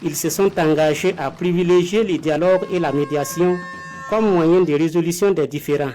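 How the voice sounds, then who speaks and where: A man's voice: a little high, moderate pace, dark tone, faint music behind. A man narrating a scripted news report as a reporter.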